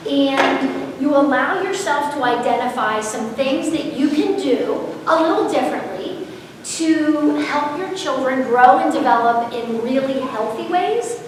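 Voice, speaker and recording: A woman in her forties giving a parenting seminar to a live audience, talking loudly and energetically without a microphone. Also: high-pitched, noisy background. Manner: loud, energetic, unmiked voice projecting to a room. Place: school auditorium or large hall. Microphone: camera far off, speaker not miked. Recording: echoey hall recorded from far off.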